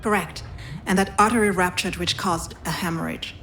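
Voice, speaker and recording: Actress as grave woman doctor, lowish voice. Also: fairly even intonation, fairly bright tone, a little hiss or hum, natural voice. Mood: grave, measured. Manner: measured, formal, grave. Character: serious, formal woman doctor breaking bad news. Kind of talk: visual novel voice acting.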